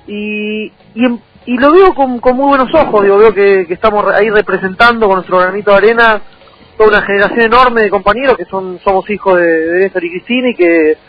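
A man's voice: high voice, brisk, highs cut off. A man in his thirties with a Rioplatense Argentine accent, answering conversationally as a political candidate in a radio interview, often repeating words as he goes.

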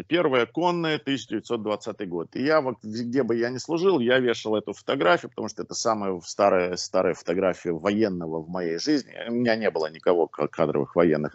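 A man's voice: sits low, dry recording. A man in his sixties, a guest on a video call, telling anecdotes in a chatty way with restarts, through a wired earphone mic at home. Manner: chatty anecdotal storyteller, restarts and filler sounds. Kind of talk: casual storytelling over a video call. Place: living room at home, indoors. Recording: dull, dry lo-fi video-call audio.

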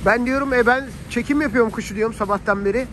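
A man's voice: extremely high pitch, fast talker. A man chats casually and colloquially to his viewers as a family vlogger. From Turkey. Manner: chatty, colloquial, addresses viewers as friends. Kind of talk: casual vlog chat to viewers. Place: outdoors on a snowy city street. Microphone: handheld phone while filming. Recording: outdoor phone audio, faint street noise.

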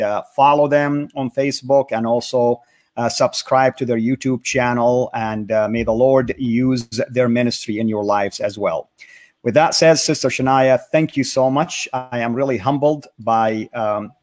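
A man's voice: mid-range voice, steady tone, moderate pace. Polite man hosting an online interview. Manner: polite, encouraging, with frequent "uh" fillers. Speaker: interview host.

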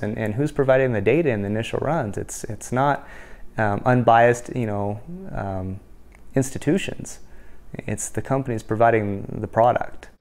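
A man's voice: on the low side, creaky, darkish tone. A man in his thirties from Wyoming, a rancher in a documentary interview, talking earnestly with many restarts and fillers. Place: indoor room.

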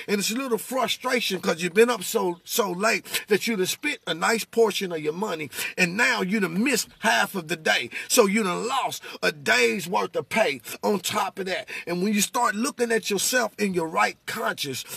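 A middle-aged American man with a raspy voice, giving impassioned, casual testimony about faith in a preacher-like way, inside a vehicle. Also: sits high, no room sound. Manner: animated, preacher-like, repeats phrases for emphasis.

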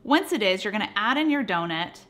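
A woman's voice: medium pitch, lively intonation, a little fast, a bit bright. A woman in her thirties hosting a cooking show, narrating recipe steps in an upbeat voice with playful asides, recorded in a home kitchen. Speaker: cooking channel host.

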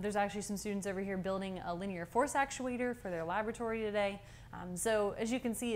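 Young woman guiding a tour, noisy room. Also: mid-range voice. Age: young adult. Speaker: student tour guide. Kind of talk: informal guided campus tour to camera. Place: indoor university labs and hallway. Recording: steady clear background room noise.

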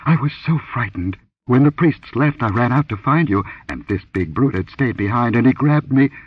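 A man's voice: medium pitch, lively intonation, moderate pace, slightly rough, no echo, recorded from a distance, lo-fi sound, pitched above the performer's own voice. A man voicing a terrified young woman who gasps and stammers her pleas, in an audiobook reading. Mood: frightened. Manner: gasping, stammering, pleading.

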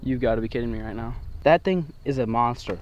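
A man's voice: medium pitch, fast talker. A young man talking casually as an angler in a fishing vlog, recorded outdoors by a river. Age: young adult. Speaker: angler vlogger. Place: outdoors on a muddy river and bank. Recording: muffled, loud outdoor water and boat noise.

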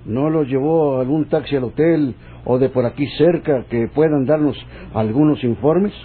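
A man's voice: mid-range voice, moderate pace, close to an everyday voice. Businesslike policeman, acted by a man, tinny recording. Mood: probing. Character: businesslike secret police investigator. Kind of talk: old radio serial acting. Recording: tinny old radio, faint noise.

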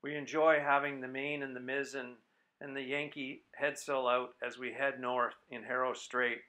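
A man narrating a voice-over for his sailing vlog in a calm, easygoing way. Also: medium pitch, normal speed, low-quality recording.

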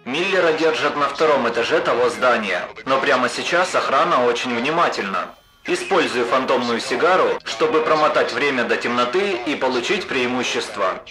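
A man's voice: medium pitch, expressive, normal speed, music in the background, poor audio. Man as earnest radio mission operator. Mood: instructing. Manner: instructing, businesslike. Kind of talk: parody cartoon dub.

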